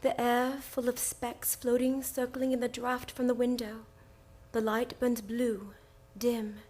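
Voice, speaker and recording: Fragile, loving young gentlewoman played by a woman, high voice, radio horror drama. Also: level tone, slow pace. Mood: fearful, dreamy. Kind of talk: old-time radio drama acting.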